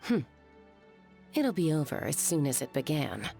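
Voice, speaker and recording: A low-pitched female warrior of a video game, cool and decisive. Her delivery is bold and capable, yet moves widely in pitch. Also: big pitch swings, a little slow, gravelly, darkish tone, soft background music, full character voice. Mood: confident.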